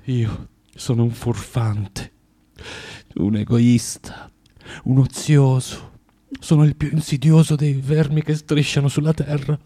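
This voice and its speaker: An actor playing a bitter, proud, self-loathing recluse in a theatrical radio drama, with a volatile, declamatory and halting delivery. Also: male voice, medium pitch, fairly even intonation, mellow.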